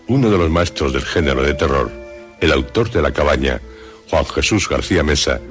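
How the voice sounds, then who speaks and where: A man with a Castilian Spanish accent presenting a horror radio show in a solemn, suspenseful scripted narration with dramatic pauses. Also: rumbling low. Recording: loud music under the voice. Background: background music.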